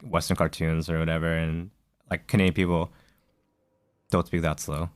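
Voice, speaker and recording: A man's voice: low voice, level tone, normal speed, clear, resonant tone, dry recording, clean studio sound. A man co-hosting a podcast in casual chat, thinking aloud with many fillers and self-corrections. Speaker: podcast co-host.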